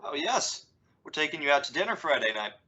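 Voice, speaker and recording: A low, bright voice in dull lo-fi audio, a woman acting as a middle-aged suburban dad in a radio comedy. He is dry, sarcastic and exasperated, yet fond of his family. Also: very animated, a little fast, breathy edge, heavily acted. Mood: warm, casual. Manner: dry sarcasm with emphatic swoops. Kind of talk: old-time radio sitcom acting.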